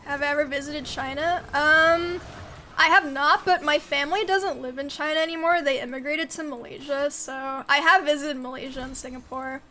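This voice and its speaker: A woman's voice: high voice, steady tone, normal speed, sharp tone, slight background noise. A young woman in her twenties whose first language was Mandarin, chatting casually on a game stream, giggling and filling her talk with "like" as she reads chat aloud.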